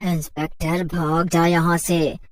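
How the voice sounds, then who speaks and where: A woman voicing an eerie young forest witch in a horror cartoon, giving stern, drawn-out warnings. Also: lowish voice, flat delivery, dry recording, natural voice. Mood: ominous. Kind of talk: cartoon horror story acting.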